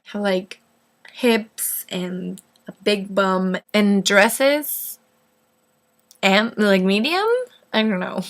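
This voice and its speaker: A woman in her twenties who grew up in northeast Mexico with Spanish as her first language. She answers questions as a vlogger in a casual, rambling style, often poking fun at herself, with a medium-pitched voice. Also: very slow, long pauses. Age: 20s. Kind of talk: casual chat to camera.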